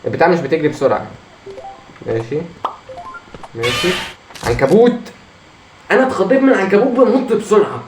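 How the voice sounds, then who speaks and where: A hoarse man with an Egyptian Arabic accent, commenting on his own gameplay, muttering encouragement to himself and breaking into frustrated outbursts. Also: sits high, expressive, fairly quick, pauses often. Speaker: gamer. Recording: echoey room with faint noise.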